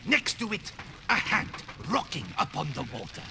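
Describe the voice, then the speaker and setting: A rustic fisherman from a fantasy game with a gravelly, high-pitched voice that swings wildly. He rambles slowly and dramatically, drawing out his story over background music. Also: very animated, background noise, heavily acted. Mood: spooked. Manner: slow, long-winded, dramatic storytelling. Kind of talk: fantasy video game acting. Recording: music playing behind.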